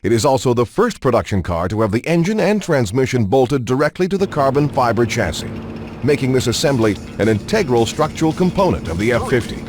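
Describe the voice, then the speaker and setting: A man reading scripted promotional narration in a smooth, measured way. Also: medium pitch, big pitch swings, over music.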